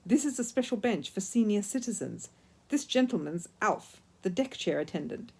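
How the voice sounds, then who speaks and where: A woman's voice: medium pitch, expressive, normal speed, gravelly, a little mellow, breathy edge. A woman voicing a kindly, chatty, folksy elderly man in a children's storybook. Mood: explaining. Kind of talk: children's storybook character reading.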